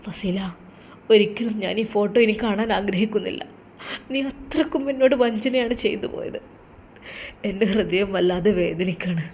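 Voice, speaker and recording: A woman voicing a grieving young husband who speaks softly and pleadingly to the wife who left him. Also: medium pitch, moderate pace, slight background noise, natural voice. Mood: heartbroken. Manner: soft, heartbroken, pleading.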